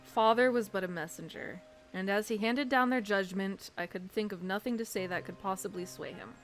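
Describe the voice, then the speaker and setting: A woman voicing a formal, earnest young fantasy scholar, reading game dialogue in a measured way. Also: medium pitch, faint music behind, lightly acted. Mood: grave resignation.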